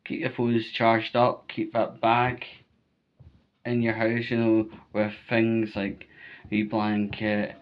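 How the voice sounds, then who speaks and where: A man's voice: lowish voice, monotone, takes it slowly, clean tone, lo-fi sound. A Scottish man giving informal, emphatic advice to viewers, repeating his points and often checking in with "right?". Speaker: vlogger giving storm safety advice.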